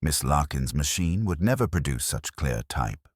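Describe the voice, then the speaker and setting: A man voices an attentive policeman assisting in a mystery audiobook. He is quietly questioning, in a very deep and husky voice. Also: very animated, moderate pace, no echo, studio quality, exaggerated voice.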